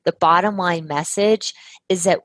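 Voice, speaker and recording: A woman's voice: fairly low pitch, measured pace, no echo. Earnest woman telling her story. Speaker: guest, health practitioner. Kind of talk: conversational storytelling.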